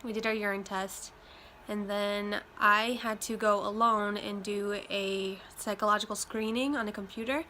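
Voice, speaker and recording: A young woman talks casually at home, her voice a little nasal from a lingering chest cold. She rambles with frequent ums, unhurried and conversational. Faint road traffic and wind chimes drift in through open windows. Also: mid-range voice, steady tone, pushed and bright. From Iowa. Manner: chatty, many ums, loose and rambling. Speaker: vlogger. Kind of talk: casual talk to camera.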